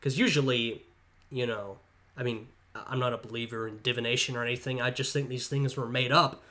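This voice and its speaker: A man with a stuffed-up, congested voice talks casually as he reacts to a history video. He thinks aloud, hedging and musing over each point at an ordinary, middling pitch. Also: steady tone, fairly quick, pushed and bright.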